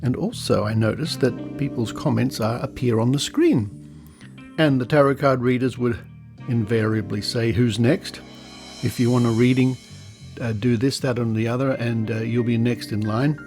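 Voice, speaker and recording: A man's voice: medium pitch, steady tone, normal speed, music in the background. A man with an Australian accent hosts a podcast in an informal, conversational style. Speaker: podcast host.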